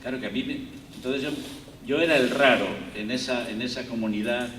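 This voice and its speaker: A man's voice: mid-range voice, fairly quick, a bit bright. Spanish man lecturing in an echoey hall. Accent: Spanish (Spain). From Spain. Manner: rambling, digressive, many fillers and restarts. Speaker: guest lecturer. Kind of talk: university lecture. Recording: echoey hall, distant, faint room noise.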